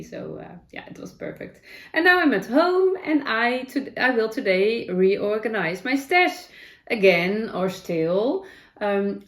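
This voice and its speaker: Dutch-accented woman chatting in a roomy echo. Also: mid-range voice. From Netherlands. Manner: rambling, many fillers and self-corrections. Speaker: knitting vlogger. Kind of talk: casual chat. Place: home.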